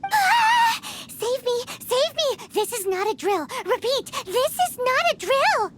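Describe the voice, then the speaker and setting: Squeaky, very high childlike fairy companion. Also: female voice, very animated, takes it slowly, very noisy, full character voice. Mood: panicked. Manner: refers to self in third person, dramatic. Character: tiny childlike female flying companion, excitable and cheeky. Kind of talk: English video game voice acting.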